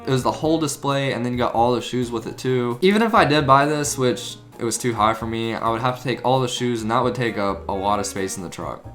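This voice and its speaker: A man's voice: medium pitch, fast, hardly any pauses, fairly bright tone, soft background music. A man narrating a casual reselling vlog and haggling politely with vendors at an outdoor antique show. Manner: easygoing, polite bargaining. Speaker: antique advertising reseller vlogger.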